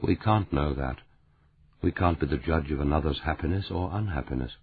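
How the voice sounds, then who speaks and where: A man reading a gentle, firm man. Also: low voice, normal speed, breathy edge, no room sound. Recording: tinny phone-like sound.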